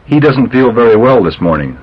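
A man reading English drill sentences for a language tape, enunciating slowly and deliberately. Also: mid-range voice, very animated, slightly rough. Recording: dull old language tape.

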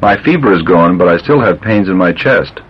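A man with a low, slightly husky voice reads English drill sentences with careful, deliberate enunciation. His pitch moves a lot within each line. The sound is the muffled tone of an old language tape. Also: animated.

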